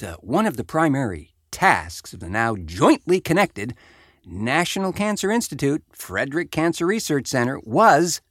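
Wry American man with animated, swooping delivery. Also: medium pitch, dry recording, clean studio sound. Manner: wry, sardonic asides. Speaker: podcast host. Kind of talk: reading a research report aloud with conversational asides.

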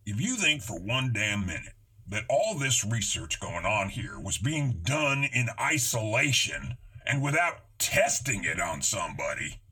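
Forceful, raspy low-voiced American man. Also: very animated, measured pace. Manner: slangy, sarcastic. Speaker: podcast co-host. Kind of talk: casual, opinionated commentary.

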